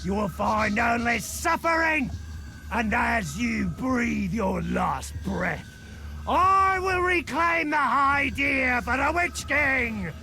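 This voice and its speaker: An actor playing a gloating, hate-filled orc servant of a dark lord in a video game, taunting theatrically. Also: male voice, very high voice, animated, slow pace, gravelly, soft background music, heavily acted. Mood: gloating menace. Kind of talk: video game cutscene acting.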